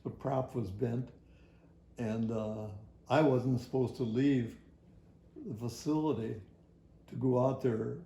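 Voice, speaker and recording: An older man tells a personal story from his working life in a halting, rambling, folksy way. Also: mid-range voice, fairly even intonation, vocal fry, mellow, reverberant. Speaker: retired air traffic facility worker. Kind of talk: personal oral history storytelling.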